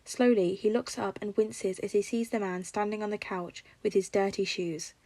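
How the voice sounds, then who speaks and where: A woman's voice: medium pitch, steady tone, normal speed, warm tone, no echo, low-quality recording. A woman reading a scripted story aloud as a fiction narrator. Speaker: podfic narrator.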